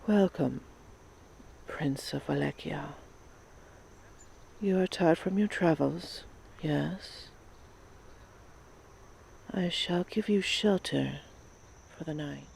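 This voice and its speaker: Guy doing a soft gravelly temptress voice, higher, slow, kinda hypnotic. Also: slight background noise, heavily acted. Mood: beckoning. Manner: slow, hushed and seductive. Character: alluring enchantress, a tall slender woman.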